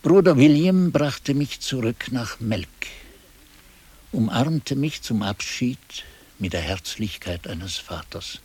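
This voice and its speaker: A man's voice: mid-range voice, expressive, darkish tone, breathy edge. An actor playing a weary, disillusioned old monk who solemnly recounts his past in a radio drama. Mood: wistful, resigned. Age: older. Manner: solemn, deliberate.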